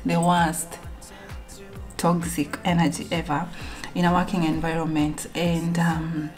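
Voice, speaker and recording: A woman's voice: lowish voice, a little mellow. A young woman from Kenya talking alone to her camera at home in a reflective, rambling sit-down vlog, full of fillers and restarts. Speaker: vlogger.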